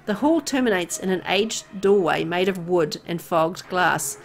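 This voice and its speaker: A woman's voice: on the low side, expressive, measured pace, a little hiss or hum. A woman reading a horror visual novel aloud as dramatic story narration.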